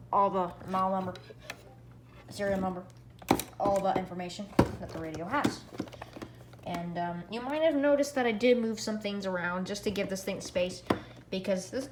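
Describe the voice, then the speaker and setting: A female-sounding hobby vlogger talks casually through a product review. The delivery is excitable and leans on repeated phrases for emphasis. The room is echoey, with faint noise behind the voice. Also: mid-range voice. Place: indoor room at home. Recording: echoey room, background hiss.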